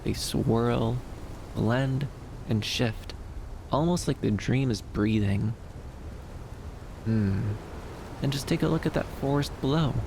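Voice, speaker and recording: Male actor as soft, dreamy young femboy for ASMR roleplay: shy, soothing, creaky mid-range voice, light rumble behind. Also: slow pace, long pauses. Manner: soft-spoken, hesitant, soothing, with lilting upturns.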